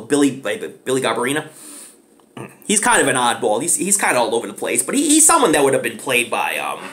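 A man in his thirties talks through a movie review in a small living room, speaking straight into his webcam. He rambles eagerly and fills gaps with ums, and his pitch swings high and low as he gets excited. It is casual and unscripted, like a home video. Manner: rambling, enthusiastic, lots of fillers.

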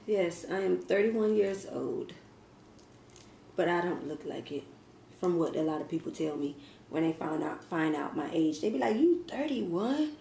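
A woman's voice: lowish voice, animated, measured pace, vocal fry, warm tone. Chatty woman eating in an echoey kitchen. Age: 30s. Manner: chatty, laughs often, talks while eating. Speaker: mukbang vlogger. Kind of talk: casual Q and A chat while eating. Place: home kitchen, indoors. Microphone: fixed camera across a table. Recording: echoey kitchen with faint ambient noise.

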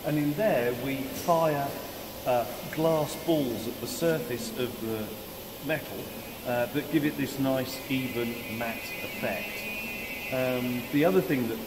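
A middle-aged man on a factory floor, calmly explaining how masts are made, technical and measured, with many fillers. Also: mid-range voice. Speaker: mast factory manager. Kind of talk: explaining a manufacturing process. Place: large factory floor, indoors. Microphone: camera mic at a distance. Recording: echoey factory hall, mic far off, loud machine noise.

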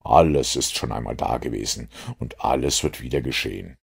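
Guy reading as a superior lecturing detective, super deep and creaky, measured audiobook delivery. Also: heavily acted. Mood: lecturing, confident. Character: self-assured, lecturing male master detective. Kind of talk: audiobook character dialogue.

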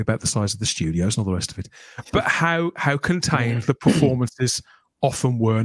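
A man's voice: medium pitch, dry recording. Man hosting, dry close-miked sound. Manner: discursive, frequent tag questions. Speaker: podcast host. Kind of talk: conversational interview. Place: small furnished room at home. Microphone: close desk microphone.

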